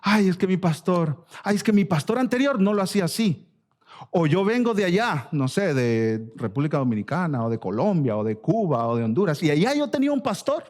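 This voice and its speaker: A man's voice: high voice, very animated, brisk, darkish tone. A man with a Latin American Spanish accent preaching an expository sermon as a pastor, emphatic and exhorting, repeating phrases and asking rhetorical questions. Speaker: pastor preaching to his church.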